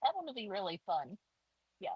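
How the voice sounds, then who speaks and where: A woman's voice: mid-range voice, very animated, a little fast, husky, no room sound, dull audio. A woman guest author chatting in an interview, hesitant, with many fillers. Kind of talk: casual interview chat.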